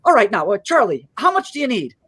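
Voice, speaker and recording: A man playing an earnest, kind-hearted small-town loan manager in a live radio play, stammering and halting as he pleads. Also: extremely high pitch, huge pitch swings, fairly bright tone, breathy edge, heavily acted. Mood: relieved, kindly.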